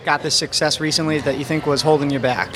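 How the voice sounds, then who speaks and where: A man's voice: fairly high pitch, steady tone, quick pace, background noise. A man co-hosting a podcast, asking rambling, supportive interview questions. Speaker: podcast co-host. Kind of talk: casual interview.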